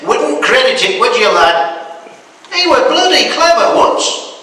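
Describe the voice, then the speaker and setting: Gossipy old Northern English granddad, played by a male reader, high lively pitch, colloquial, rambling storytelling, heard in an echoing hall. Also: slow, full character voice. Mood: wry. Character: gossipy old working-class Yorkshire granddad, farmer, amused. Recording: echoey hall, distant microphone.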